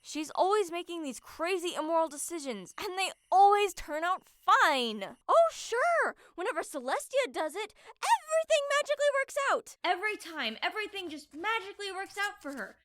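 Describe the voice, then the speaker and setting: A woman's voice: extremely high pitch, sing-song, moderate pace, hardly any pauses, a bit bright, dry recording, heavily acted. A woman voicing a resentful, sarcastic female pony ranting in a cartoon parody. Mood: bitter, sarcastic.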